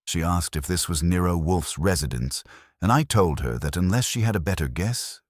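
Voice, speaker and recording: Guy reading a noir detective story, super low husky voice, wry, up and down delivery. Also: expressive, moderate pace, no room sound, clean studio sound. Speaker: audiobook narrator. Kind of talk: scripted first-person detective story narration.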